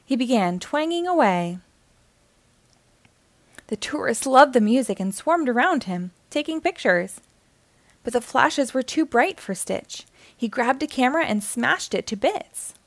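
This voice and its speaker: Higher-voiced woman reading a storybook. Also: sing-song, a little slow, dry recording. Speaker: children's storybook reader. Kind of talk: scripted read-aloud narration of a children's story.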